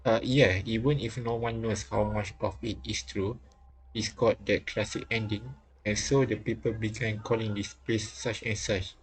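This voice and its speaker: A man streaming a visual novel, reading its dialogue aloud haltingly with casual reactions. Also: on the low side, steady tone, breathy edge, low-quality recording. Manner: halting, repeats phrases as he reads. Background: game music.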